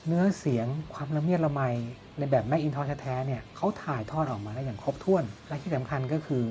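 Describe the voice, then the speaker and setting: A man's voice: mid-range voice, brisk, mellow, slight background noise. A man presents an audio product review in an explanatory way, posing questions and answering them himself. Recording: music playing behind the voice. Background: background music.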